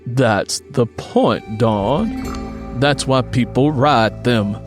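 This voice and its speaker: A man's voice: medium pitch, very slow, over music. A man voicing an irritated, blunt, sarcastic husband in an audiobook. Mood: irritated, firm. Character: irritated, gruff husband and brother, adult man.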